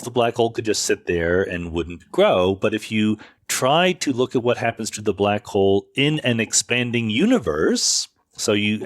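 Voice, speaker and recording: A man's voice: lowish voice, no echo. A man hosting a podcast, explaining things in an informal, conversational monologue and correcting himself as he goes. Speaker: podcast host. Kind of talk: informal explanatory monologue answering listener questions. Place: makeshift recording setup away from home studio.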